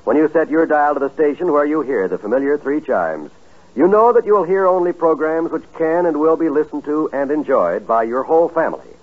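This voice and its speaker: A man's voice: fairly high pitch, very animated, a little fast. A man announcing formally from a script in stately 1950s network radio style. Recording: tinny, muffled 1950s radio broadcast.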